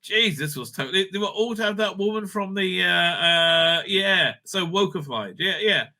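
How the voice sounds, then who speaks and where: A man's voice: high-pitched, lively intonation, moderate pace, bright, forceful tone. A man hosting a casual, off-the-cuff review commentary, blunt, opinionated and sweary, rambling and repeating words as he goes. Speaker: host reviewing audio drama releases.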